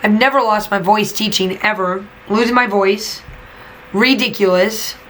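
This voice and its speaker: A woman's voice: medium pitch, measured pace, fairly bright tone, slight background noise. A woman, a teacher who runs a craft channel, chatting and venting in a casual voiceover while she is losing her voice. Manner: chatty, venting, emphatic repetitions. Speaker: teacher and scrapbooking channel host. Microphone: voiceover mic.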